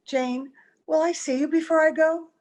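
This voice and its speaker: A woman playing a wistful, secretive gentlewoman in old-time radio drama style, earnest and gentle with a melodramatic touch. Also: high-pitched, fairly even intonation, normal speed, close to an everyday voice. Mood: gentle, concerned. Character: wistful, secretive mother posing as a young visitor. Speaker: actor in an amateur radio play. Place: at home, recorded over video call.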